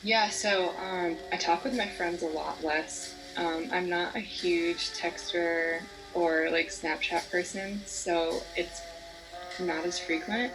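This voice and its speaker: A woman's voice: on the low side, fairly even intonation, slow, music in the background, rough recording. A twenty-year-old woman studying psychology, answering in an easygoing, matter-of-fact way. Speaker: psychology student. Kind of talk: casual interview answers.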